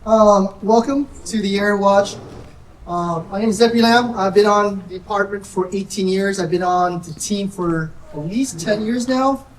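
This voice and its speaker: A soft-spoken woman working as a sheriff's deputy, presenting safety topics to residents in a nervous, chatty way. She talks at a middle pitch. Her voice is picked up from a distance and echoes around the room, with faint noise behind it. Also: moderate pace.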